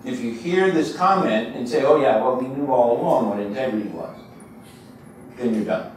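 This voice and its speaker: A man's voice: medium pitch, animated, moderate pace, a little mellow. An older man giving a conversational, emphatic keynote lecture at a university, pacing in a large lecture hall. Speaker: guest lecturer. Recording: echoey hall, distant mic, faint noise.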